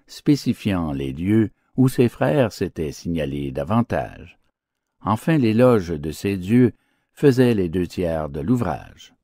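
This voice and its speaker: A man narrating fables as an audiobook, with declamatory, theatrical phrasing and an expressive literary delivery. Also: low-pitched, no echo, studio quality.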